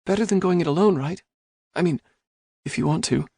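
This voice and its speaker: Guarded young woman in a storybook read, put on by a male narrator: hesitant, earnest, rough-edged, close and dry. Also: high voice, fairly quick, mellow, no echo, pitched above the performer's own voice, exaggerated voice. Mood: shy. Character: guarded young woman, wary yet hopeful.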